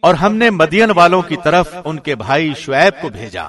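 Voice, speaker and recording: A man reading a scripture translation from a script in formal, deliberate radio presenter diction. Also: fairly high pitch, very animated, a bit bright.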